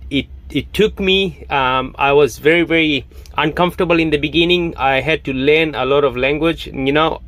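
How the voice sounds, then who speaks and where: Halting man, higher voice. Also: a bit bright, dry recording. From Fiji. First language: Hindi. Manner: halting, many fillers and restarts. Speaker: online business promoter. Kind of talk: casual monologue to camera.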